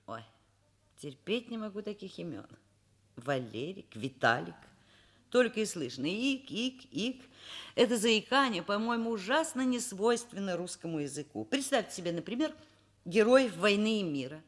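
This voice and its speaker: A woman's voice: mid-range voice, animated, normal speed, close to an everyday voice. An actress playing a wry, educated middle-aged woman professor in a radio drama, both narrating and talking in an ironic, articulate, literary manner. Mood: amused, lecturing.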